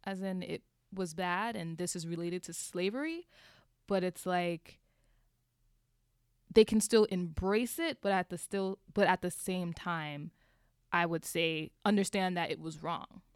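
A woman with a smooth, clear, slightly low voice talks in a casual group discussion. She sounds thoughtful and a little hesitant, and the sound is dry. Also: measured pace, no room sound. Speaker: discussion participant.

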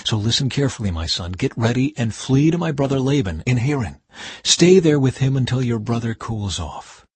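A man voicing a worried mother who urges her son to flee, in his own husky reading voice. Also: mid-range voice, sing-song, normal speed, a little mellow. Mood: urgent.

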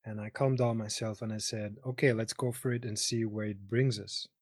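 A man's voice: lowish voice, fairly even intonation, breathy edge, no echo, studio quality. A man in his thirties from Greece with a Greek accent, talking casually as a guest and searching for words in his second language. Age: 30s. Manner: halting, searching for words in non-native English. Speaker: interview guest. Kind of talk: casual personal conversation.

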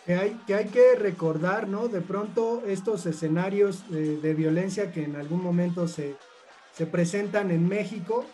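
Mexican man, high but mellow voice, thoughtful halting panel talk. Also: faint music behind. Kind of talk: casual panel discussion, anecdotal.

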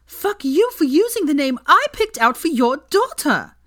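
A woman's voice: sits high, sing-song, normal speed, fairly bright tone, pitched above the performer's own voice. A woman voicing a fierce, cutting young woman in a fury, in a fan fiction audiobook. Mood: rising fury.

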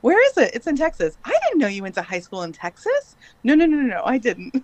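A woman in her late thirties chats as a podcast guest, her voice a little high and recorded close and dry. She laughs often and hesitates with fillers as she talks. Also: wildly swooping pitch, brisk, dry recording, lo-fi sound. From grew up in Bangladesh. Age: late 30s. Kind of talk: casual interview chat.

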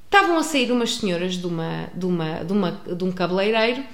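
A woman with a European Portuguese accent telling a personal travel story to an audience, expressive, with many restarts. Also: lowish voice, quick pace. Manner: expressive, acts out dialogue, frequent restarts. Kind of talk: animated storytelling. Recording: roomy echo.

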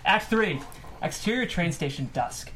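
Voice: medium pitch, expressive, unhurried, a bit bright, slight background noise. A narrator reading scripted stage directions aloud at a table read.

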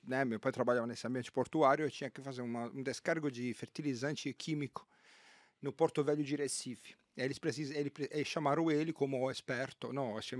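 Halting man telling a funny anecdote. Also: medium pitch, darkish tone, no room sound, studio quality. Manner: halting, restarts, laughs as he tells it. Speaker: guest. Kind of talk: casual conversation, anecdote.

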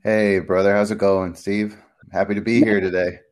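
A man's voice: fairly low pitch, little pitch movement, moderate pace, darkish tone. A young man from Peru, a podcast guest, talking earnestly with many fillers. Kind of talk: casual interview chat.

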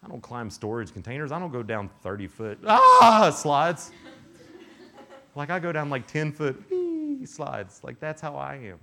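A man's voice: a little high, unhurried, creaky, noisy background. A young man giving a casual, joking sermon to teenagers at a youth camp, often acting out little voices. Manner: animated, joking, acts out quoted voices.

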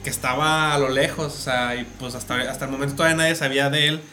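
A man's voice: mid-range voice, fairly even intonation, fast talker, sharp tone, slight background noise. A man with a Mexican accent chatting casually and explaining things at length, often restarting his sentences. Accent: Mexican Spanish. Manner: explanatory, stumbles and restarts while building a point. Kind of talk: casual chat about an anime series.

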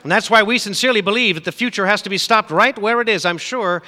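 An actor playing a smug, petulant, spoiled rich mogul with a glib, whiny manner in comic radio theatre. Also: male voice, sits high, quick pace, sharp tone. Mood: smarmy. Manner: glib, whiny, self-satisfied. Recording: hall reverb, live stage.

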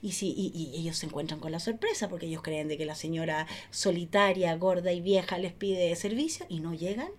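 A Chilean woman chatting casually on a panel, in an animated, theatrical way, repeating phrases for emphasis. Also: lowish voice, brisk, hardly any pauses.

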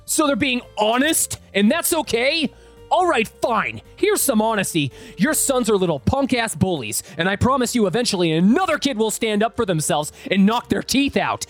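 A woman voicing a protective father with a blunt, forceful manner in a dramatized story. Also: medium pitch, big pitch swings, moderate pace, soft background music. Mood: angry, defiant. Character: angry protective father standing up to bullies.

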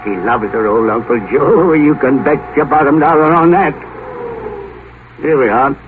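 An actor playing a rich, hot-tempered old uncle terrified of death in a 1950s radio drama, blustering, melodramatic and prone to stammer. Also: male voice, a little high, normal speed, lightly acted. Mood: gruff, confiding. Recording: crackly, narrow-band 1950s radio broadcast.

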